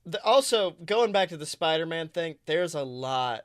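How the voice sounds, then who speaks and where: A man's voice: fairly high pitch, expressive, normal speed, a bit bright, no echo. A man chats casually as a podcast co-host. His delivery is jokey, with a crude comic streak. He is recorded dry on a close mic. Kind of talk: casual comedic chat.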